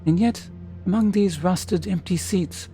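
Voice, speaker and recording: An actress playing a wistful, eloquent wanderer in a fantasy video game, speaking in a formal, musing way. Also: female voice, on the low side, a little slow, dark tone, soft background music, natural voice. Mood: wistful, lonely. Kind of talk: video game character acting.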